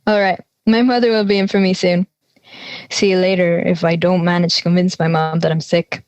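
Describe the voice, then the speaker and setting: A woman's voice: lowish voice, flat delivery, a little fast, a little mellow, dry recording, highs cut off, close to an everyday voice. A young woman acting an anxious schoolgirl in an audio drama, confiding in a nervy storytelling way. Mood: teasing. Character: anxious schoolgirl confiding her dreams and fears. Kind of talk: audio drama monologue and dialogue.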